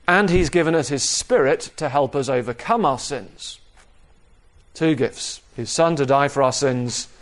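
A man preaching an expository sermon in a measured, explanatory manner, repeating key phrases for emphasis. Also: a little high. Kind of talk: sermon, expository Bible teaching.

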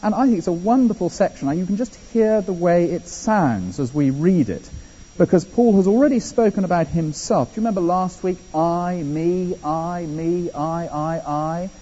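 An English man delivers a sermon with lively swings in pitch and a conversational touch. His voice is husky and mellow. The recording is muffled and rings with the long reverb of a large hall. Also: moderate pace. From England. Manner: animated, conversational preaching with asides. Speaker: preacher. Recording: dull echoey hall.

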